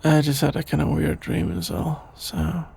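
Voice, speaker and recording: A man playing a shy, sleepy young best friend in an ASMR roleplay, stammering, hesitant and evasive in a creaky, drowsy voice. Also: fairly high pitch, very animated, normal speed, a little mellow, full character voice. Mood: embarrassed, evasive.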